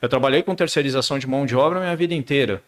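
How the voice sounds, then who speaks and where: A man's voice: mid-range voice, very fast. A middle-aged man, a guest on a business podcast, explaining in casual chat and often repeating words while he searches for the next one.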